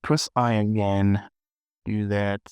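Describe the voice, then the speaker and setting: Male tutorial presenter, unhurried and slow, dry close sound, thinking aloud in short clipped phrases. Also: fairly low pitch, flat delivery, darkish tone, clean tone, no echo. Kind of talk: casual screen-recorded software tutorial, thinking aloud.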